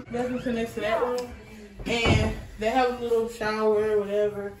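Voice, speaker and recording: A woman's voice: on the high side, little pitch movement, unhurried, recorded from a distance, music in the background. A young woman giving a casual house tour to viewers, chatty and often repeating her phrases, recorded on a handheld phone while walking indoors. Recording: roomy, noisy, voice off from mic.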